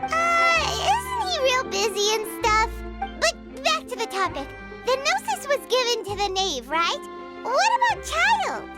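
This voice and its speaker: A woman voicing a small, childlike game companion who is inquisitive and blunt, with a squeaky, piping voice. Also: wildly swooping pitch, measured pace, heavily acted. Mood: puzzled, inquisitive. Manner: hesitant interjections, questioning, exclaiming. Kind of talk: anime-style game voice acting. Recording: music playing behind the voice.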